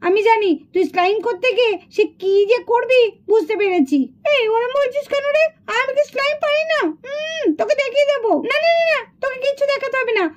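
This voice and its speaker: A woman voicing a bossy, chatty, excitable schoolgirl doll in a children's puppet cartoon. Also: extremely high pitch, a little fast, few pauses. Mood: bossy, bickering. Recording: dull lo-fi sound.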